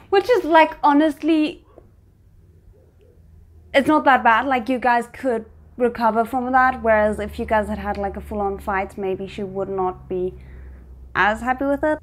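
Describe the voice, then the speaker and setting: A woman's voice: on the high side, measured pace. A woman giving casual reaction commentary as a video host, talking back to the characters she is watching. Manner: talks back to the characters on screen.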